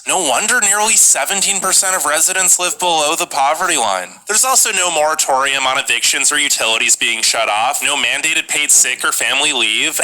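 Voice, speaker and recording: Bright sarcastic male narrator. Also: on the high side, rough recording. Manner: sarcastic, mocking. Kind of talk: scripted ranking narration.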